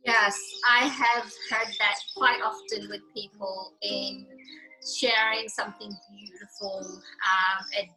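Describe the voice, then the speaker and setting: A woman's voice: medium pitch, steady tone, takes it slowly, pushed and bright, soft background music, poor audio. A woman hosting an interview, chatty and effusive, with many fillers as she asks questions. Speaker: interview host. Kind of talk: casual interview chat.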